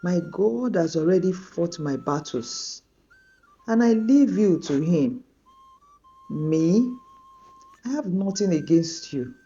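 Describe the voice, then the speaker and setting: A woman playing a quiet measured wronged woman, slow, mellow, dull lo-fi sound. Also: mid-range voice, big pitch swings. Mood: calm. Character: calm wronged woman, dignified and forgiving.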